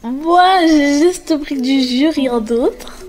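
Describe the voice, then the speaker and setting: A woman playing a curious young schoolgirl in a cartoon, with a very high voice. She sounds confident and inquisitive in a simple delivery, over faint music. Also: very slow, darkish tone. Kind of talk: children's animated film dialogue.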